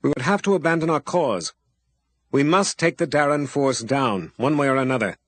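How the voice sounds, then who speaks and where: A man's voice: mid-range voice, moderate pace, no room sound, lightly acted. A man voicing a stern, powerful male wizard with firm, weighty speech.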